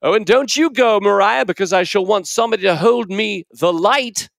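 A man's voice: sits high, normal speed, gravelly, a bit bright, dry recording, clean studio sound, voice pushed up. A man voicing a fussy, bossy middle-aged man in an affected British accent, ordering everyone about.

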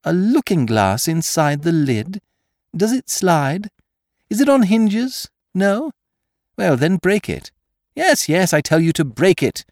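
Man voicing an excitable, taunting gentleman thief, swooping pitch. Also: dry recording, clean studio sound, heavily acted. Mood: impatient, commanding. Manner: theatrical, taunting, rapid questioning. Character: triumphant, mocking gentleman thief, excitable and commanding. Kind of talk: dramatised audiobook character voice.

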